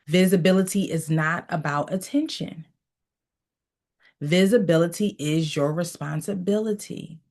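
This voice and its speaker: A woman with a fairly low voice leads a motivational coaching session. She talks in a preachy, repeating rhythm and keeps telling her listeners to write key lines down. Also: unhurried, darkish tone. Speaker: mindset coach.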